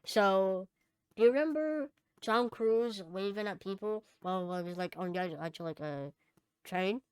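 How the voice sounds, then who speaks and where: A woman co-hosting a casual podcast, chatting and reading out news items, somewhat halting when she reads aloud. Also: medium pitch, smooth, no echo. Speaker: podcast co-host.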